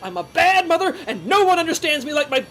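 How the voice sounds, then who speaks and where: Woman playing a high, fussy, indignant sibling spy. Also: very animated, fast talker, fairly bright tone, faint music behind, heavily acted. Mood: insistent. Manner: indignant, emphatic. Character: fussy, exasperated timid sibling claiming to be spy. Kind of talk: comedic audio drama acting.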